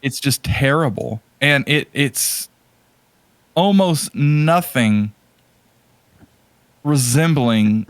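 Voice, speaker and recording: A man's voice: medium pitch, animated, drawn-out words, pauses often, dry recording. A man co-hosting a podcast, chatting casually and giving strong opinions about movies with exasperated emphasis, often repeating words for stress. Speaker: podcast co-host.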